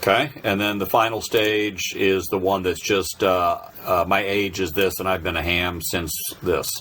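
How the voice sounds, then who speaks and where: A man's voice: low-pitched, flat delivery, moderate pace, heavy background noise. A man narrating an informal, unscripted tutorial, explaining step by step in a hesitant way. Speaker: presenter of a software tutorial.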